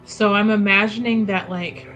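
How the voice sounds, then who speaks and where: A woman playing in a tabletop game, chatty and giggly, thinking her plans out loud. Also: medium pitch, steady tone, moderate pace, dull audio, soft background music. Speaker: player. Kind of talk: tabletop roleplay chat.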